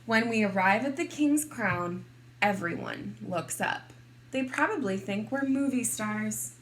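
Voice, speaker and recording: Slow-paced woman reading to young children. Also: medium pitch, animated, a little hiss or hum. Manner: deliberate, clearly addressed to small children. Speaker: kindergarten teacher reading aloud. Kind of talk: expressive picture-book read-aloud for young children.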